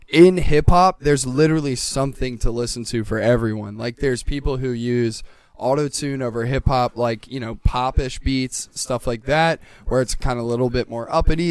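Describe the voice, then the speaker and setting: A man's voice: medium pitch, smooth, no echo. A young man chatting casually and emphatically as a podcast host, with lots of slang, swearing and "dude". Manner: emphatic, enthusiastic, peppers talk with "dude".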